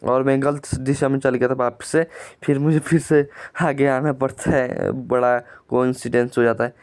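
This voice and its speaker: A man narrates his own gameplay in an even, level tone, his voice smooth and clear even with a sore throat. He tells it plainly and often repeats words as he goes. The voice is dry and close to the mic. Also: medium pitch, moderate pace, dry recording. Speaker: gaming channel creator.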